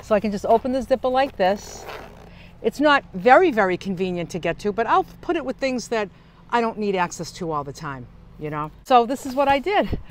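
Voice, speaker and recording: A woman's voice: medium pitch, big pitch swings, brisk, a little hiss or hum. An older woman giving a casual, chatty how-to tour as a vlogger, explaining things as she works with her hands. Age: older, senior. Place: outdoors at the open side door of a camper van. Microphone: small clip-on lavalier mic.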